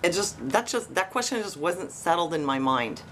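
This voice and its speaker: A woman with a fairly low voice hosts an informal investigative commentary for online viewers. She speaks conversationally, posing questions and speculating as she goes. A faint buzzing noise sits behind her voice. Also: animated, normal speed, fairly bright tone. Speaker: online video host. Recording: noisy, buzzing tree-cutting outside. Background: buzzing from tree cutting outdoors.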